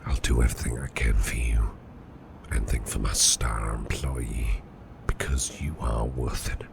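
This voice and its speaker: A man playing a caring, protective businessman boss in an ASMR roleplay, speaking in a soothing, reassuring, breathy half-whisper. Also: a bass voice, little pitch movement, a little slow, background noise, full character voice. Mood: tender, reassuring. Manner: soothing, reassuring, affectionate, gently murmured. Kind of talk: soft ASMR roleplay, personal attention.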